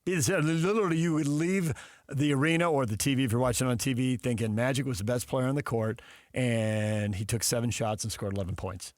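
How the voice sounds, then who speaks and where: A man's voice: mid-range voice, steady tone, a little fast, a little mellow, dry recording, clean studio sound. A man co-hosting a sports radio show, trading arguments in playful, unscripted banter. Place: radio studio.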